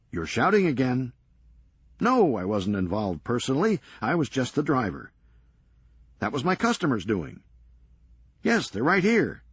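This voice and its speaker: A man voicing a cool-headed, reckless ringleader in a crime story, brisk and unbothered. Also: mid-range voice, husky, no echo, voice pushed up, full character voice. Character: unflappable, cheerfully reckless male ringleader.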